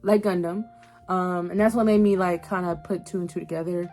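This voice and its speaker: A woman's voice: on the low side, a little fast. A young adult woman giving casual video commentary in a slangy, emphatic, conversational way, often repeating phrases for emphasis. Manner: animated, slangy, emphatic repetition. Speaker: YouTube host reviewing an animated series. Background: background music.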